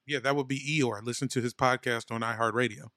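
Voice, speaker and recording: A man co-hosting a podcast in casual comedic chat, cracking deadpan jokes. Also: mid-range voice, brisk, no echo, clean studio sound. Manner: joking, deadpan asides. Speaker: podcast co-host.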